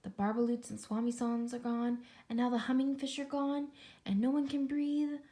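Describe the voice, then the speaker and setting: A woman preschool teacher reading a story aloud, expressively, pausing to comment and ask the children questions. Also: a little high, completely flat delivery, mellow. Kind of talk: read-aloud story time for young children.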